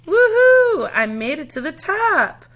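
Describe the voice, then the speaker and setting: A woman voicing an earnest, determined but easily frustrated young schoolgirl in a storybook, with emphatic, expressive delivery. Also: very high voice, slow, voice pushed up, heavily acted. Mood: elated.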